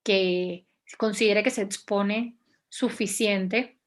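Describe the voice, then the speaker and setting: A woman's voice: medium pitch, steady tone, takes it slowly. Reflective woman journalist from Venezuela. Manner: hesitant, many restarts and fillers, reflective. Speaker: journalist, guest. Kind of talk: interview answers.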